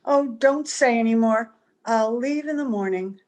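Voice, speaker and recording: A woman's voice: a little high, a little slow, a little mellow, lightly acted. A woman playing a strange, weary, evasive young woman in an old-time radio drama. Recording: home video-call audio, music behind.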